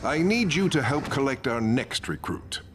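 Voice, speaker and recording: A man's voice: mid-range voice, lively intonation, moderate pace, background noise, close to an everyday voice. A man acting a scheming, wry and self-assured criminal mastermind in a video game cutscene. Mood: wry, calculating.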